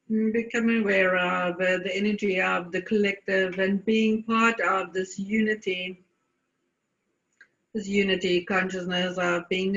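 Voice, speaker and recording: A woman guides a meditation at a very slow pace, leaving long pauses between deliberate phrases. Her voice is bright with a noticeable creak. The recording is slightly dull and muffled. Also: medium pitch. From South Africa.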